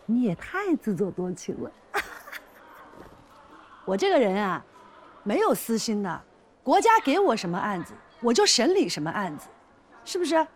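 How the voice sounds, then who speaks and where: An actress playing a pushy, flirtatious shop owner in a screen drama, insistent, teasing and quick to take offence. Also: female voice, fairly high pitch, sing-song. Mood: teasing, coaxing. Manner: insistent, teasing, indignant, theatrical. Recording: noticeable background noise and ambience.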